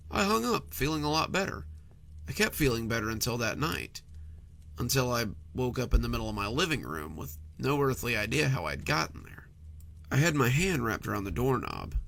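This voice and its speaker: A man narrates a first-person horror tale as if recounting it himself. His mid-pitched voice moves in animated swings, with a bright, forward edge and a noticeable gravelly creak. The delivery is conversational but clearly scripted. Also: a little hiss or hum.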